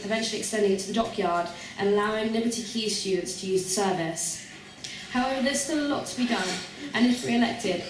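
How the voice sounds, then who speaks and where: A young woman with a mid-pitched voice reads out a prepared student campaign speech. The recording is very echoey and distant, as if caught from across the room. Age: young adult. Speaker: student union president seeking re-election. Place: large echoey room at a public hustings. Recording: very echoey, distant mic, faint noise.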